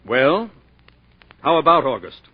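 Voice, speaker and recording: Relentless, husky radio courtroom prosecutor. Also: male voice, a little high, very animated, measured pace, full character voice. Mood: probing. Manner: insistent, pointed, theatrical cross-examining. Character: relentless, sardonic male prosecutor grilling witnesses in court. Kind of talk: 1940s radio drama acting. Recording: muffled 1940s radio broadcast recording.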